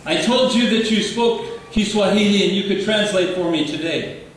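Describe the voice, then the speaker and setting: A man preaching a sermon in short, playful phrases, pausing for an interpreter. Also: high voice, slight background noise. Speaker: guest preacher. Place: church sanctuary. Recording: echoey church hall from far off.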